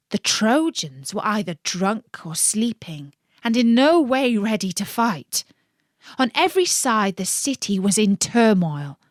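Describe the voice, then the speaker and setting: A woman reading a scripted myth as a storyteller, in a measured, expressive and dramatic manner. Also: medium pitch, dry recording, studio quality.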